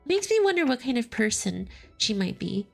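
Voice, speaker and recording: A woman's voice: on the high side, very animated, moderate pace, vocal fry, faint music behind. A woman reading a game's story aloud as a streamer, breaking off to joke and laugh between passages. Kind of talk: dramatic reading with commentary.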